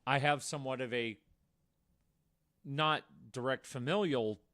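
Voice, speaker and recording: A man's voice: medium pitch, a little slow, fairly bright tone. A man co-hosting a podcast, telling true-crime stories in a conversational way with wry, darkly joking asides. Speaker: podcast co-host.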